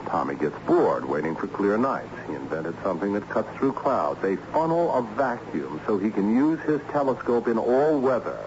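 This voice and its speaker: A wry, excitable low-voiced school administrator. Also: male voice, normal speed, hardly any pauses. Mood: enthused, explanatory. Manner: wry, theatrical, patiently explanatory. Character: harried, excitable male school assistant principal with ironic humour. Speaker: actor in a radio play. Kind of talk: old-time radio drama acting. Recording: dull, noisy old radio recording.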